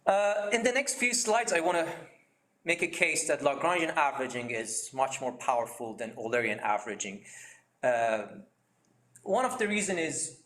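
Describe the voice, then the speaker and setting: A man's voice: fairly high pitch, very animated, moderate pace, fairly bright tone, echoey room. A man giving an academic seminar talk over a video call, explaining slides with frequent fillers and restarts. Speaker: seminar speaker.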